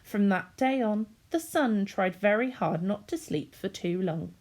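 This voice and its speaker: Animated, unhurried woman reading to children. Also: medium pitch. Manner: addresses young children, animated storytelling. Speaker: teacher reading a story to children. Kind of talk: expressive children's storybook reading.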